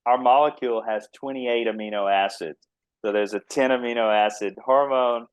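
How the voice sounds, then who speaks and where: A man's voice: mid-range voice, fairly even intonation, moderate pace, clean tone, no echo, low-quality recording. A man from Texas, a company founder answering interview questions in an easygoing, earnest way, laughing often. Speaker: biotech company founder, guest.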